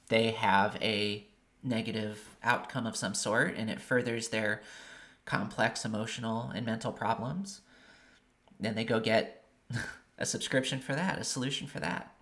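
Bright-voiced man giving an earnest monologue. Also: medium pitch. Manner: earnest, opinionated, explanatory with frequent restarts. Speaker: podcast host. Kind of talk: solo monologue.

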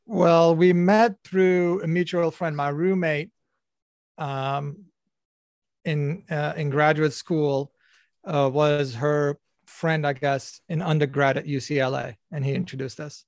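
A man's voice: a little high, level tone, slow, creaky, no echo. A man raised between the United States and Algeria, speaking as a coach guest in an interview, reflective and hesitant with many fillers. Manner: hesitant, full of ums and uhs, reflective. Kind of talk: conversational interview.

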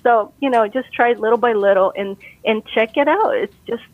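A woman's voice: a little high, normal speed, no room sound. A woman from Costa Rica, a brain health expert, giving upbeat, encouraging advice in a casual interview over a call. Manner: upbeat, encouraging, laughs easily. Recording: tinny phone line.

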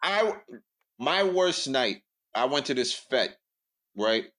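A man's voice: mid-range voice, sing-song, slow pace, a bit bright. Blunt young New man telling stories. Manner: blunt, storytelling, builds up jokes. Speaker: podcast co-host. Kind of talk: casual storytelling chat.

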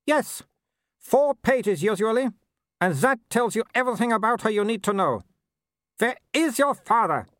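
A man voicing a haughty, snobbish older werewolf baroness who talks with sharp scorn. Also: high voice, expressive, moderate pace, no echo, clean studio sound, pitched above the performer's own voice. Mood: scornful.

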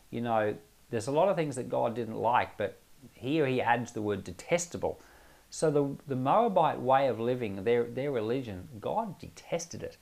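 A man giving conversational Bible commentary as a pastor, explaining things emphatically with asides to the listener. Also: mid-range voice.

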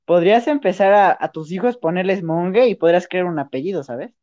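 A woman's voice: fairly low pitch, a little fast, mellow. A woman with a Mexican accent doing a casual, improvised interview in slangy, informal language.

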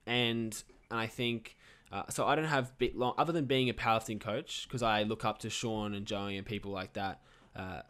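An earnest nineteen-year-old Australian man hosting a casual podcast chat. His voice sits fairly low, and he works through his thoughts out loud with frequent restarts. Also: steady tone, normal speed, clean tone. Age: teens. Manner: earnest, thinking aloud with restarts. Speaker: podcast host.

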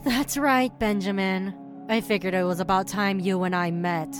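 A woman's voice: medium pitch, steady tone, normal speed, over music, natural voice. A woman playing a vengeful siren, a menacing and protective sister, in an audio drama. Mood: cool, menacing.

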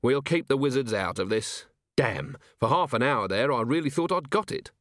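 A man voicing a gruff middle-aged male watch commander, terse, commanding and brooding, in an audiobook. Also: medium pitch, lively intonation, moderate pace, a bit bright, no room sound, studio quality, voice pushed up. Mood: impatient, musing.

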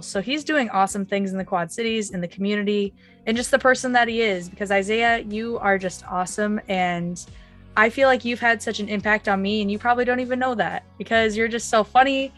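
A woman's voice: mid-range voice, fairly bright tone. A woman hosting a casual podcast, effusive and earnest, her voice a little off as she recovers from an illness. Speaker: podcast host.

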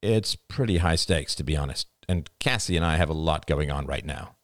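A man's voice: very deep voice, moderate pace, dry recording, studio quality. A man playing an intense, insistent husband and father in dramatic audiobook dialogue. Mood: grim.

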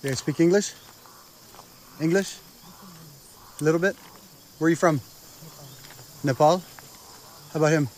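A man doing on-the-ground reporting at night outside, firing off short blunt questions. His voice sits a little high. A faint hiss and insects chirp around him in the open air. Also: unhurried, long pauses, a little mellow. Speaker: field reporter. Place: outdoors at night.

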